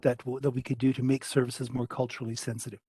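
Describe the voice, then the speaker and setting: A man's voice: mid-range voice, flat delivery, quick pace, mellow, slightly rough, dry recording. An older man with a Canadian accent, asking questions as a committee member at a hearing over a video call with a headset. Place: home study, indoors.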